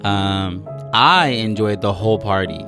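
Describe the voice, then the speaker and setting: A man co-hosting a podcast in casual chat, his voice hoarse and scratchy, teasing his co-host and laughing often. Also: on the low side, a little slow, over music.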